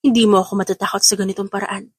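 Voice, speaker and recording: A woman's voice: mid-range voice, level tone, very fast, husky, no room sound, clean studio sound, natural voice. A woman playing a defiant, protective new mother in an audio drama, speaking firmly and with emotion. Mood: defiant, angry. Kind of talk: Tagalog audio drama acting.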